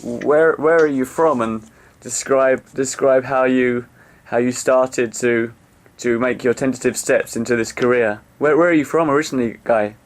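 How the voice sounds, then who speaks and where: A man's voice: medium pitch, fairly even intonation, normal speed. A man hosting a podcast, asking questions and giving short affirmations, often repeating his words as he goes. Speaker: podcast host. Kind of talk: interviewer.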